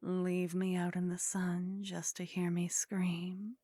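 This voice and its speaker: A woman playing a haughty, flirtatious vampire in an intimate ASMR roleplay, speaking softly and close to the microphone with a teasing, condescending manner that often drops toward a whisper. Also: on the low side, monotone, slow, no room sound. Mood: taunting, amused. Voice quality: soft, breathy.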